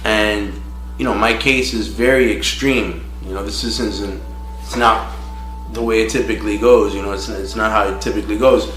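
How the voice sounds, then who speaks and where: A man from Miami sharing his personal recovery testimony in a frank, halting way, with many "you know" fillers. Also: fairly low pitch, steady tone, fairly bright tone. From Miami, United States. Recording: roomy echo with faint music.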